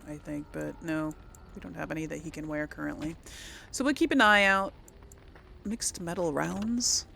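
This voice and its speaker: A woman's voice: on the low side, vocal fry, a bit bright, slight background noise. A woman hosting a casual let's play, rambling and thinking aloud as she comments on the game. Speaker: gaming video host.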